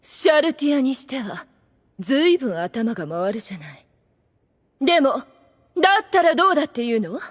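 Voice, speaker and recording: A woman's voice: high voice, big pitch swings, normal speed, pauses often. A woman playing a proud, scheming demon lady in an anime audio drama, with refined, condescending phrasing and a theatrical manner. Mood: haughty, challenging.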